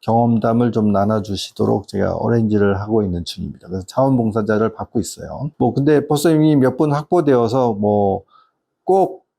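Mellow, creaky-voiced middle-aged man explaining. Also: fairly low pitch, a little fast. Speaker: educational consultant and channel host. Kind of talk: informal explanatory talk addressed to parents.

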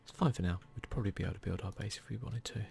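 A man giving whispered, relaxed ASMR commentary over gameplay, musing idly close to the microphone. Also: fairly low pitch, very fast, creaky. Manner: relaxed, idle musing to himself and viewers. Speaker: gaming channel host.

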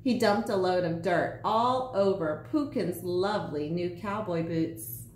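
A woman's voice: on the low side, unhurried, echoey room, slight background noise. A woman in her forties, a teacher, reading a children's picture book aloud in a small room.

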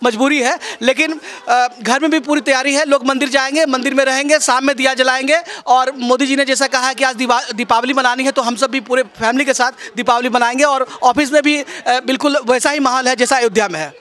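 A man's voice: extremely high pitch, fairly even intonation, a little fast, few pauses, a bit bright. A man talking in a friendly, slightly joking way to a reporter. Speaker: newsroom colleague interviewed.

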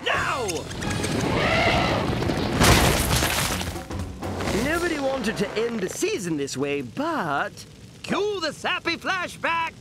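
A man's voice: very high voice, wildly swooping pitch, a little slow, exaggerated voice. An actor playing an earnest, exasperated cartoon gorilla, a loyal friend who pleads his case. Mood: pleading. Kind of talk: cartoon voice acting. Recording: loud cartoon music underneath.

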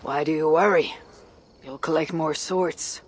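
A man's voice: fairly high pitch, fairly even intonation, slight background noise, lightly acted. Man playing a brooding, steadfast Viking warrior. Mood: firm, defiant. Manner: measured, brooding, resolute. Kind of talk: dramatic video game cutscene acting.

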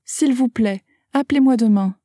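A woman's voice: on the high side, moderate pace, dark tone, dry recording. A woman carefully reading short phrases aloud for a language lesson, enunciating each one. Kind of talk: slow scripted phrase reading.

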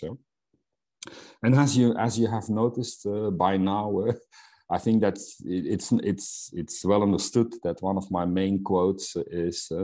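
A man's voice: deep voice, dark tone. A middle-aged man from Belgium whose first language is Dutch, presenting in an online webinar with frequent fillers and restarts. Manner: hesitant, many fillers and restarts. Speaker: Salesforce instructor.

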